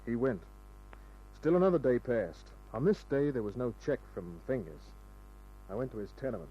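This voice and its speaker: A man's voice: medium pitch, lively intonation, normal speed, close to an everyday voice. Male actor as hard-boiled radio gumshoe: world-weary, wry, sardonic, mid-range voice on a dull old recording. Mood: terse storytelling. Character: wisecracking hard-boiled male private detective. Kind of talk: 1940s-50s radio crime drama narration and dialogue.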